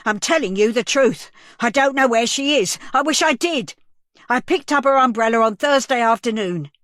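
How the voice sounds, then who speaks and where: A woman's voice: deep voice, normal speed, no room sound, studio quality. A woman voicing a nervous male cafe owner under police questioning, speaking defensively with a tremor in his voice. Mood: desperate. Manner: defensive, voice trembling.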